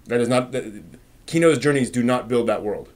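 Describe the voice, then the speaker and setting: Male live stream host, mid-pitched, casual and opinionated, halting as he thinks through replies to chat. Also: a little mellow.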